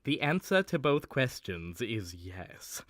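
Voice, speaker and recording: An actor playing a scheming, condescending villain butler in a comedic suspense audio drama. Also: male voice, medium pitch, big pitch swings, takes it slowly, fairly bright tone, no room sound, clean studio sound. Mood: gloating.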